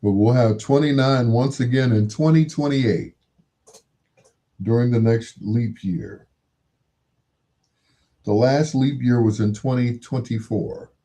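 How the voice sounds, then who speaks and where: A man's voice: medium pitch, slow pace, long pauses, warm tone. An American man hosting in a casual, rambling monologue, musing aloud and posing rhetorical questions. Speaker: radio-style host.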